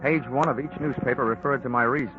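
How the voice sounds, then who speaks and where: A man's voice: mid-range voice, fairly even intonation, fast. Brief: man, dry wisecracking radio detective narrator, mid voice, dull old broadcast with music under it. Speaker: insurance investigator and narrator. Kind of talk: 1940s radio acting.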